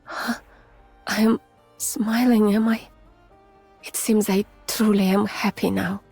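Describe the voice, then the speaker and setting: Soft, breathy, weary Russian scientist. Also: female voice, medium pitch, steady tone, soft background music, exaggerated voice. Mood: quietly happy. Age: adult, mature. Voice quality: soft, breathy, often near a whisper. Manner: measured, formal, restrained. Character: gentle, weary, haunted Russian woman scientist. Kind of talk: soft, intimate ASMR-like game voice acting.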